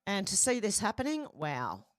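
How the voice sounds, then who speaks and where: A woman's voice: medium pitch, huge pitch swings, moderate pace, no room sound, clean studio sound. A woman from Ireland hosting an online news commentary show, reading posts aloud and reacting to them in a chatty, conversational way.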